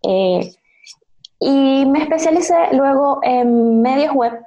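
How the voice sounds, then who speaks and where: Venezuelan woman, interview guest. Highish, mellow voice, slightly muffled lo-fi recording. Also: animated, slow. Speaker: podcast guest, designer. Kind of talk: conversational interview answers.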